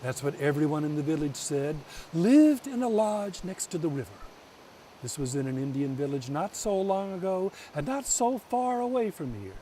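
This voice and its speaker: A man telling a folk tale as a storyteller, theatrical, with deliberate pauses. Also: fairly high pitch, very animated, mellow, distant mic, slight background noise.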